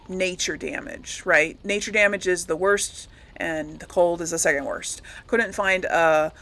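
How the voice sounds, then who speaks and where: A woman's voice: fairly low pitch, vocal fry, fairly bright tone, a little hiss or hum. A woman hosting a gaming video, giving casual, rambling commentary as she thinks aloud and corrects herself.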